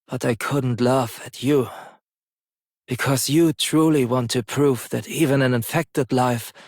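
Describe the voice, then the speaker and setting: An actor playing a wry, philosophical man in a video game, speaking in a measured way. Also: mid-range voice, breathy edge, no echo, clean studio sound. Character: sardonic, philosophical man, dryly respectful game character.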